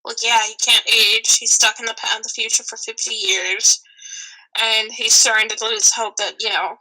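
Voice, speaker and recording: Very bright, higher-pitched woman in casual chat. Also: steady tone, normal speed, low-quality recording. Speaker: podcast guest, voice actress and writer.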